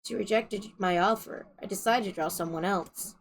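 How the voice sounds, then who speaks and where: A woman's voice: medium pitch, normal speed, natural voice. A woman voicing a ghostly male apothecary in a story reading, eerily polite and whimsical with a macabre streak. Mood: quiet.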